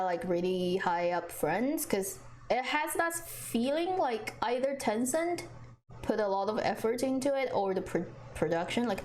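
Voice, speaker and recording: A woman's voice: mid-range voice, a little mellow, slight background noise, low-quality recording. Chatty woman streamer, native Chinese speaker. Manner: chatty, frequent restarts, laughs often. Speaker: live stream host. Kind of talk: casual live-stream chat answering viewers.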